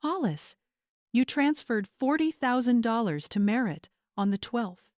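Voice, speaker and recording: A woman's voice: a little high, very animated, unhurried, darkish tone, dry recording, clean studio sound, pitched above the performer's own voice. A woman voicing a wealthy older mother in a dramatic story, controlled, stern and unflinching. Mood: cold, accusing.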